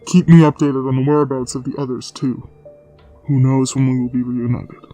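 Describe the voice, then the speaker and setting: Aloof dark warrior master, mellow voice, man acting. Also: mid-range voice, flat delivery, moderate pace, close to an everyday voice. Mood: calm, guarded. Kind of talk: dramatic comic dub acting. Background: music.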